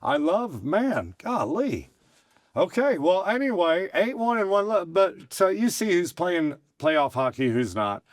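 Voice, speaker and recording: A middle-aged man with a hoarse, fairly high voice rambles along in folksy, excitable bursts, stopping and restarting his sentences. The sound is dry and close, with no room echo. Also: a little slow. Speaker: host and bar owner. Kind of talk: casual podcast chat.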